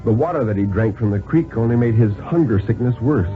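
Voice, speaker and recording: Expressive man reading on vintage radio. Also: mid-range voice, over music. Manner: deliberate, dramatic, expressive phrasing. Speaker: storyteller reading a thriller story. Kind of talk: 1950s radio dramatic reading of prose. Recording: narrow-band vintage 1950s radio recording.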